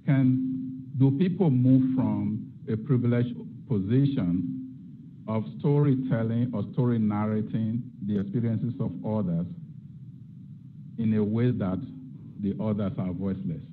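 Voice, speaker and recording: A man's voice: on the low side, measured pace, phone-quality sound, a little hiss or hum. A middle-aged man from Nigeria, giving an academic lecture and posing rhetorical questions as a guest lecturer. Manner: reflective, asks rhetorical questions.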